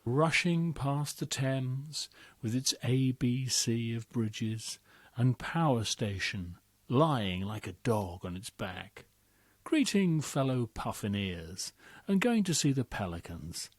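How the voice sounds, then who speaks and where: A man giving a slow, measured reading of a poem, recorded close and dry. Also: medium pitch, expressive, no echo. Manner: measured, deliberate delivery. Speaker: poetry reader. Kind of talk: slow dramatic poetry reading.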